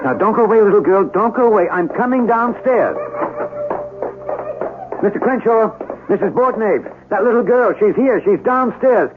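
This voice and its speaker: A muffled vintage radio drama recording with music. an earnest, agitated scientist who fears for his sanity. His formal, halting speech is animated, swinging widely in pitch as he insists on his point. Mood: excited, urgent. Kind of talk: 1970s radio drama acting.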